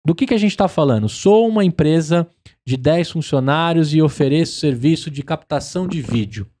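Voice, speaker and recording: Enthusiastic podcast host, warm voice. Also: male voice, fairly high pitch, lively intonation, moderate pace. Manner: enthusiastic, promotional. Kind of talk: casual business podcast chat. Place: podcast studio. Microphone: studio mic on boom arm, headphones.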